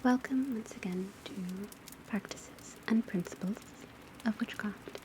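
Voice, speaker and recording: A woman playing a composed, formal witch school teacher, lecturing in a soft, breathy, often whispery ASMR style with measured, precise delivery. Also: fairly high pitch, lightly acted. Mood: calm, instructive. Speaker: ASMR roleplay performer. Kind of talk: ASMR classroom roleplay lecture. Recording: steady rain and crackling fire noise. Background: rain on roof, crackling fireplace, pencil writing.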